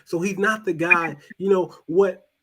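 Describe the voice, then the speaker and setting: A fairly high-voiced man talks through his opinions in a loose, casual way. He restarts phrases often and lets his voice sink quiet when he drives a point home. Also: steady tone, unhurried. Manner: loose and conversational, repeats and restarts words, drops pitch and volume for emphasis. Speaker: podcast host.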